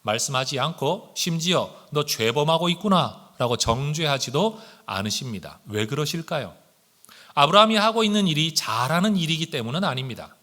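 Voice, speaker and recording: A man's voice: fairly high pitch, sing-song, normal speed, pushed and bright. A male pastor preaching a Sunday sermon, speaking earnestly and addressing the congregation with rhetorical questions. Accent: standard Korean, with regional intonation slipping in when speaking fast.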